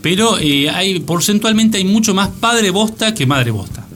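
A man's voice: sits high, lively intonation, measured pace, fairly bright tone. A man with an Argentine accent, co-hosting a casual radio chat with a joking, ironic manner. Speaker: radio show co-host. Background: music playing behind the voice.

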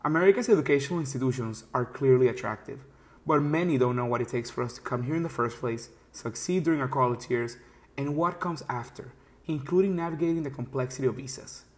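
A man's voice: medium pitch, fast, a little mellow. A young man hosting a podcast, narrating scripted segments and chatting with his guests with friendly agreement. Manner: clear narrating with friendly back-channel agreement. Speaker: podcast host. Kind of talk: scripted narration and casual chat.

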